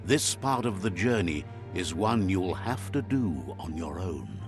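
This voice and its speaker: A man narrating a video game as a calm storyteller who relays what the creatures say. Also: sits low, unhurried, music in the background, exaggerated voice. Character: grown male storybook narrator, calm and wise.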